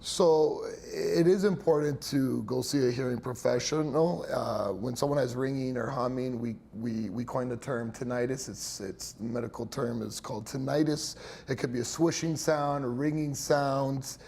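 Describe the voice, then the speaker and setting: A man's voice: medium pitch, normal speed, gravelly, hardly any pauses, darkish tone, a little hiss or hum. A young man who works as a hearing care professional, explaining his clinic in a chatty way with many fillers and little laughs. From Italian heritage. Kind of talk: interview answers, explanatory.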